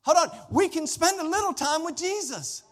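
A man's voice: very high voice, moderate pace. A man preaching a sermon as a pastor, speaking emphatically with folksy direct address and repeated phrases. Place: large indoor room, preaching to a congregation. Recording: reverberant hall with long echo.

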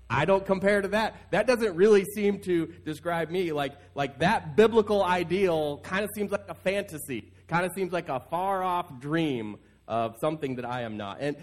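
Slightly high-voiced man preaching conversationally. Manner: informal, repeats phrases for emphasis. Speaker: pastor. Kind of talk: conversational sermon. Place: church service, speaking to congregation.

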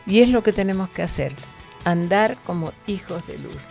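A woman's voice: lowish voice, expressive, moderate pace, gravelly, darkish tone, faint music behind. A woman teaching from the Bible to other women in an earnest, preachy way, with fillers and restarts.

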